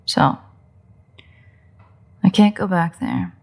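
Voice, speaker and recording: A woman playing a playful, sardonic, bloodthirsty but secretly caring vampire in a roleplay audio drama, with wry, husky, often breathy delivery. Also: on the low side, sing-song, a little mellow, exaggerated voice. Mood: wry, guarded. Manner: wry, teasing, unhurried delivery with pauses.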